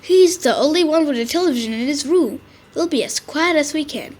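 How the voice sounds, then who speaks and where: A woman's voice: sits high, expressive, a little fast, darkish tone. Woman voicing an eager young football fan. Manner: pleading and cheering.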